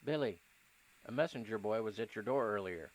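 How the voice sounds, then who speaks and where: A man's voice: lowish voice, animated, a little fast, natural voice, low-quality recording. A man playing a friendly street vendor in an old-time radio detective drama. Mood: helpful. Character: friendly male street vendor hollering to a regular.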